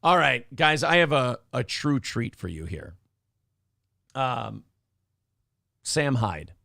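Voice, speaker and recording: A man's voice: mid-range voice, big pitch swings, slow pace, gravelly, bright, forceful tone, no echo. A man hosting a morning show, chatting casually with a dry throat, profane and opinionated, acting out quotes in raised voices. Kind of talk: casual talk-show banter.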